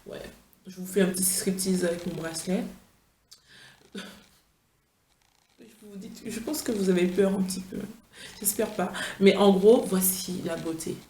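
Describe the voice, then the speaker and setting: A woman's voice: mid-range voice, steady tone, a little slow, pauses often, dark tone, a little hiss or hum. Chatty, tired woman in an echoey room. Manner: chatty, playful, gushing with enthusiasm. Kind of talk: casual product-review vlog.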